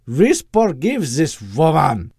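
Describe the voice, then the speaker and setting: Odd little fake German doctor; high, drawn-out, awkward. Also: male voice, huge pitch swings, darkish tone, slightly rough, no echo, studio quality, heavily acted. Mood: stiff, defensive. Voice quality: thick put-on accent, imprecise. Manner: stiff, awkward, defensive. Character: eccentric small man faking a thick German doctor accent.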